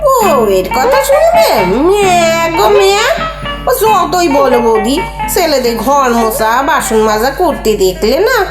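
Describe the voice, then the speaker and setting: A woman's voice: high voice, big pitch swings, measured pace, roomy sound, loud music behind the voice. A woman playing a nosy, teasing housemaid in a comedy drama, with a theatrical, gossipy, colloquial delivery. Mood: indignant, teasing. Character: cheeky, nosy housemaid teasing her employers. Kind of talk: Bengali audio comedy play acting.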